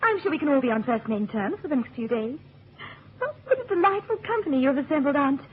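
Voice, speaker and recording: A high-voiced, polished society lady. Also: very animated, quick pace, phone-quality sound. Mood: gushing, sociable. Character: beautiful society niece, charming but volatile. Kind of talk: radio drama acting. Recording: thin, noisy old radio recording.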